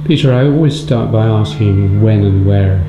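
A man's voice: lowish voice, normal speed, echoey room, dull audio, loud music behind the voice. A man interviewing a guest with courteous, brief questions.

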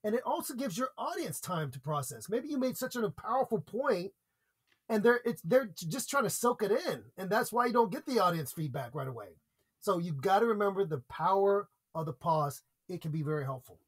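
Earnest American male guest, speaking-coach type, slightly higher voice, steady explanatory delivery. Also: a little fast, husky. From United States. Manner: earnest, explains with examples and repeated points. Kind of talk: conversational podcast interview.

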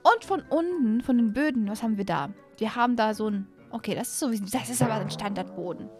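A young woman hosting an excited play-along game commentary, gushing and repeating phrases, speaking close to a desk microphone. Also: on the high side, fast talker, over music. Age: young adult. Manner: mixes in English catchphrases. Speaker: YouTube gaming host. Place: small room at home, indoors.

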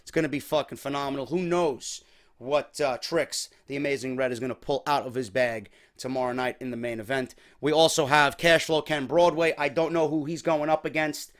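A young man, his voice slightly worn, hosting a casual wrestling talk show from a small home room on a desk microphone, energetic and chatty. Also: mid-range voice, brisk. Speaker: podcast host. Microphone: desk mic on boom arm.

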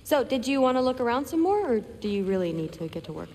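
Teenage warrior girl, high voice, friendly and proud, casual teasing delivery, video game cutscene, reverberant hall. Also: very animated, fast talker, a little mellow, slight background noise. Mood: friendly, confiding.

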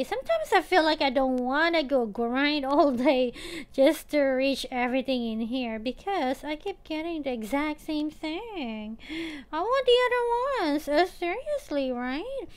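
A woman's voice: sits high, animated, measured pace. A woman casually commenting on her own gameplay, chatty and playfully whiny, thinking aloud with many filler sounds. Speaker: gaming channel host. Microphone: tablet or phone mic.

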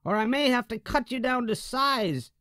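Brief: a man playing a hot-headed boy cub from a storybook, pitched high. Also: expressive, moderate pace, no echo, studio quality, full character voice. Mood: angry. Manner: indignant, shouting. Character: angry protective boy bear cub.

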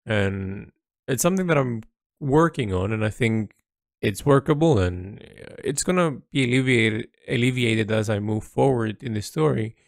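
A man speaking a reflective monologue as an artist's audio log, halting and introspective as he searches for words. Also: mid-range voice, lively intonation, vocal fry, no echo, clean studio sound.

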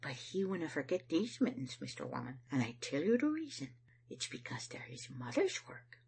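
A woman voicing a gentle, trusting middle-aged mother with a Scottish accent, soft, fond and polite as she dotes on her son. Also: on the high side, big pitch swings, normal speed, slight background noise, pitched above the performer's own voice. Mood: proud, confident.

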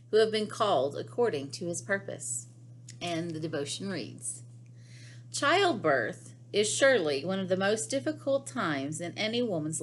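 A middle-aged woman reads devotional words aloud in a slightly low voice. Her pace is very slow and deliberate, with phrases set apart by pauses. She is recorded at home in a small room. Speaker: devotional reader. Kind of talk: reading a devotional aloud, then prayer.

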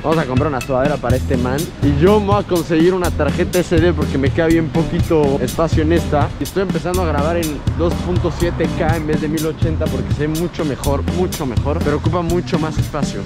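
A man's voice: on the high side, fast talker, hardly any pauses. A young man, vlogging casually to a handheld action camera as he walks through the city. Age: young adult. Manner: chatty, slangy, talks to camera while walking. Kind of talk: casual vlog chat. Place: city streets, shops and trains. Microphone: action camera held close. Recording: background music under voice. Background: music.

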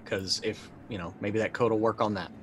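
A man's voice: fairly low pitch, steady tone, a little fast, a little hiss or hum. A middle-aged man playing a role-playing game, casually thinking aloud as he decides what his character does. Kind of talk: casual improvised game play. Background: faint background music.

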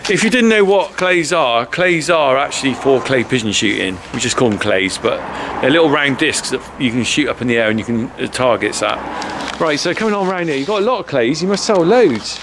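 A man's voice: mid-range voice, lively intonation, fairly quick, few pauses. A middle-aged man, chatting casually and asking curious questions while touring a store on a handheld camera. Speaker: farmer and vlogger touring a store. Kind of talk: casual chat. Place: large indoor warehouse with shelving. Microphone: camera mic, handheld close-up. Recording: warehouse with clear background noise.

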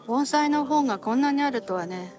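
A woman's voice: high voice, lively intonation, normal speed, music in the background. Chatty woman filming a bonsai show. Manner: chatty, talks to viewers while filming. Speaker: vlogger touring a bonsai expo. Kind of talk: casual walking commentary. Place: bonsai pavilion and garden tents, partly outdoors. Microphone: handheld camera, speaker off screen.

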